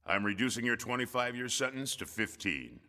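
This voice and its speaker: A man's voice: medium pitch, big pitch swings, a little fast, sharp tone. An actor playing a stern, grave male judge in a radio drama. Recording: long reverberant hall echo.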